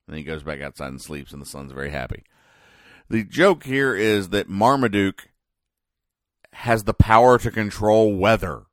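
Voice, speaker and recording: Deep-voiced man, deadpan and halting. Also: dry recording, studio quality. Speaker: podcast host. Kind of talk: casual comic-strip recap commentary.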